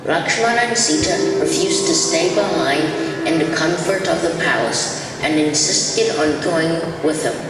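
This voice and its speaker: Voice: mid-range voice, lively intonation, a little slow, recorded from a distance. A narrator giving scripted narration for a stage play in an echoey hall. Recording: echoey gym hall, loud music behind.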